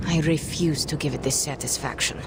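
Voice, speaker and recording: An actress playing a guarded, solemn young sorceress haunted by her childhood, speaking formally and deliberately in a video game drama. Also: sits low, noisy background. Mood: bitter, solemn. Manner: formal, measured, brooding wording without contractions. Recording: loud music behind the voice. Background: music.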